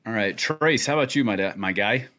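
A man hosting a casual video podcast, relaxed and joking with many restarts. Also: on the low side, brisk. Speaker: podcast host.

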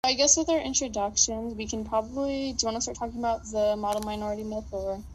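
A woman's voice: a little high, steady tone, quick pace, darkish tone, a little hiss or hum, low-quality recording. A teenage girl from the United States co-hosting a podcast, chatting casually with many fillers and giggles. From USA. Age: teens. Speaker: podcast co-host. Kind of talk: casual chat.

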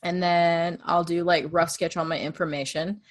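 A woman with a low-registered voice, chatting casually as she walks through her podcast process step by step. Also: flat delivery, normal speed. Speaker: true crime podcast host. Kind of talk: casual conversation.

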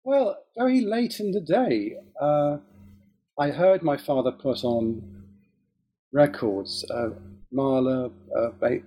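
A man's voice: medium pitch, mellow, slightly rough. A man raised in England after an early childhood in Israel, speaking English with an English accent and being interviewed about his life in music, thoughtful and hesitant with many restarts. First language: Hebrew. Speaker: guest, music academic and musician. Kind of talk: relaxed interview conversation.

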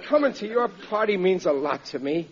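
A man's voice: sits high, lively intonation, normal speed. An American man in middle age acting a blustering, gullible working-class riveter in a classic radio sitcom, exclaiming in ungrammatical speech. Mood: gushing. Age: middle-aged. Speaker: comic lead actor. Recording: muffled vintage radio recording.

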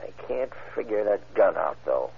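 An actor playing a tough, sly bandit with curt, slangy talk in a 1940s style radio crime drama. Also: male voice, fairly low pitch, sing-song, normal speed, gravelly, slightly rough, heavily acted. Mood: unrepentant, offhand. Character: tough, sly, defiant male hold-up bandit. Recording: tinny, muffled old radio recording.

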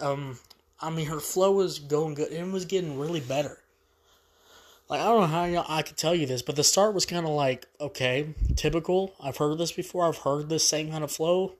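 Stuffy-nosed man rambling about music. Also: a little high. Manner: rambling, repeats himself, casual slang. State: stuffed up from allergies. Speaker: music review host. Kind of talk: casual spoken review.

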